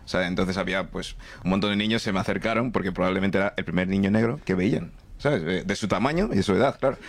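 A man's voice: low voice, quick pace. A young man from Nigeria doing stand-up, telling chatty stories and checking in often with the crowd. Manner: chatty, storytelling with frequent "¿vale?" and "¿sabes?". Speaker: stand-up comedian. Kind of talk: stand-up comedy set. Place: comedy club stage with a laughing audience.

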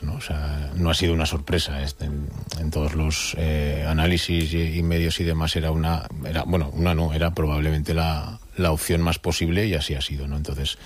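A man's voice: extremely low pitch, husky. A man as a radio interview guest, thinking aloud hesitantly with many fillers. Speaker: neighborhood federation president.

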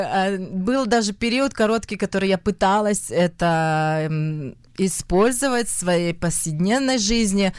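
A young woman with a Russian accent speaks in a lowish voice, pausing often and reaching for the right word. Her voice sits dry and close, with no room sound behind it. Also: a little mellow, clear, resonant tone, no room sound. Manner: hesitant, searching for words, frequent fillers. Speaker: actress, interview guest. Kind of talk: conversational interview.

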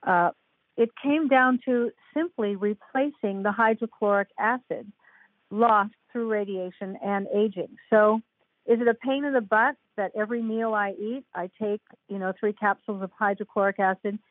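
A woman's voice: medium pitch, normal speed, dry recording. Older woman, frank and chatty, tinny phone-like sound, dry and close. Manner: chatty, frank, laughs easily. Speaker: guest, author. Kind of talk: casual interview chat.

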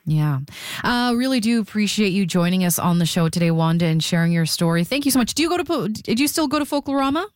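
A woman's voice: mid-range voice, expressive, no room sound, studio quality. A woman presenting live as a radio call-in host, addressing listeners and interviewing guests. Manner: reads phone numbers slowly, digit by digit.

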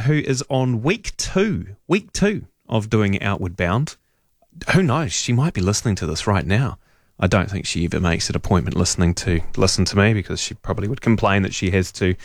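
A man's voice: fairly low pitch, dry recording, clean studio sound. A middle-aged man hosting a radio talk show, chatting and rambling with fillers and restarts. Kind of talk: casual radio chat. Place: radio studio.

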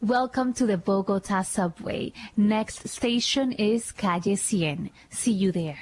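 A woman's voice: mid-range voice, slow pace, a little mellow, rough recording. A woman on a radio panel, joking and protesting playfully as she tries out announcements. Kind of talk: lively studio banter.

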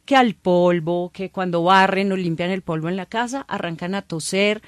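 A woman doctor, calmly explaining things to a host in an interview, using everyday examples. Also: on the low side, moderate pace, no room sound. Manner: calm, patient, explains plainly with everyday examples. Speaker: doctor, guest expert.

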